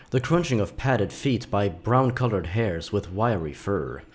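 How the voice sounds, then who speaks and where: A man reading scripted horror fiction as a dramatic narrator, with measured, deliberate phrasing. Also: low voice, darkish tone.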